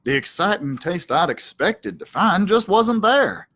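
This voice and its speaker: A man reading a children's story aloud as a library storyteller, dramatic and deliberate in his delivery. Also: high-pitched, sing-song, a bit bright. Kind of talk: expressive children's audiobook-style read-aloud.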